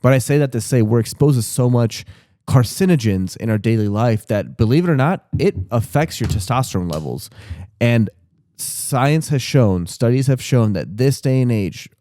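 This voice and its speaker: Low-voiced man in his thirties, candid and rambling. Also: warm tone, no echo, studio quality. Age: 30s. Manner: candid and rambling, with frequent restarts and casual swearing. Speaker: podcast co-host. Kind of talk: casual podcast chat.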